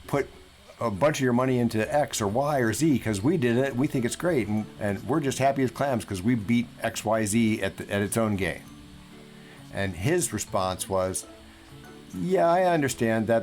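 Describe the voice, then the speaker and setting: Guy with a lowish voice doing casual coaching talk, really leans on key words, bit of music under it. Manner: emphatic, deliberate, repeats words for emphasis. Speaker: financial coach and podcast guest speaker. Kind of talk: conversational financial advice. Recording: music playing behind the voice.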